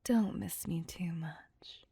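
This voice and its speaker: A woman plays a possessive female vampire in an ASMR roleplay. She speaks slowly and breathily, close and dry, slipping between smug commands and teasing whispers. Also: lowish voice, creaky, mellow, breathy edge, no room sound, exaggerated voice. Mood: smug, whispering. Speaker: voice actor.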